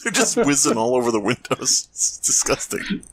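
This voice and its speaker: A man's voice: medium pitch, normal speed. A man co-hosting a comedy podcast, wisecracking as he reads fan fiction aloud and comments on it. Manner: animated, wisecracking asides. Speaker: podcast host.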